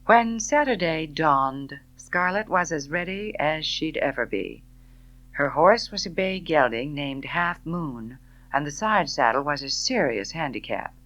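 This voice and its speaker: A woman reading a novel as an audiobook, narrating in an expressive, theatrical way. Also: low-pitched, a little slow, highs cut off. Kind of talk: scripted dramatic novel narration.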